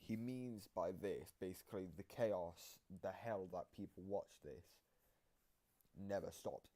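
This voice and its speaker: A man giving casual reaction commentary, explaining game lore in a halting, repetitive way. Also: low-pitched, dark tone. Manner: explanatory, halting, repeats himself.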